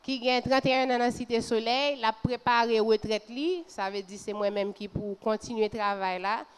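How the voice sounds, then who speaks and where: A woman's voice: fairly high pitch, moderate pace, clean tone. A woman in her thirties from Port-au-Prince introducing herself on a panel earnestly, dropping in English phrases. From Port-au-Prince, Haiti. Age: 30s.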